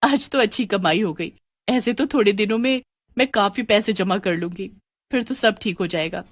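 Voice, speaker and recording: A woman voicing a kind, hard-working young woman devoted to her family, with an earnest, expressive storybook delivery. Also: medium pitch, moderate pace, no room sound, lightly acted. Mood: hopeful. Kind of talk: dramatised storybook dialogue.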